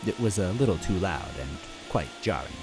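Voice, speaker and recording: A man's voice: sits low, animated, moderate pace, soft background music. A man narrating a first-person story expressively as a reader.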